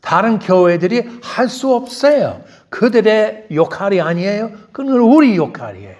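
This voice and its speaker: Loud, high-pitched preaching pastor. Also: male voice, sing-song, rapid-fire. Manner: switches between Korean and English, emphatic preaching with rhetorical questions. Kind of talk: bilingual sermon. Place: church sanctuary.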